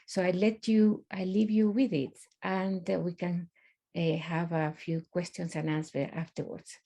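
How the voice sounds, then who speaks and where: A middle-aged woman with a Guatemalan accent making informal, halting remarks as a guest presenter on a video call. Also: on the low side, flat delivery, creaky, mellow. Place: online video call from abroad.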